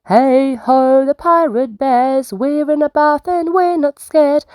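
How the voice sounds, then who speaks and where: Woman acting young bear cub, storybook pirate play, high pitch, sing-song, emphatic, childlike, boisterous. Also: slow pace, a little mellow, no echo. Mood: merry singing. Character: boisterous young bear cubs, playful little would-be pirates.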